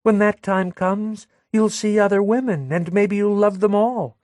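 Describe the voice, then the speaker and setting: A man's voice: sits high, unhurried, a little mellow, no echo, studio quality, pitched above the performer's own voice. A man voicing a coy, jealous young woman who sweet-talks her lover and gossips with a catty edge. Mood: cooing.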